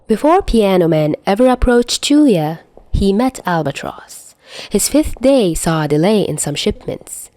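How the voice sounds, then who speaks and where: A woman reading a story aloud as an expressive, dramatic narrator. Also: medium pitch, a little slow, dry recording. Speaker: fan fiction reader.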